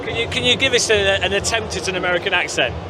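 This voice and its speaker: A man's voice: high-pitched, racing, noisy background. A male gaming channel host chatting casually and upbeat, with lots of fillers, on a walkthrough of a busy gaming convention floor.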